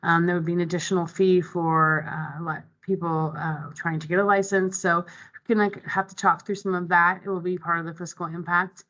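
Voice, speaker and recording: A woman's voice: lowish voice, flat delivery, a little fast, a bit bright, lo-fi sound. A woman running an online committee meeting, talking through the agenda in a conversational way with many ums and restarts. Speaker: meeting facilitator. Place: online video call. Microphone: video call microphone.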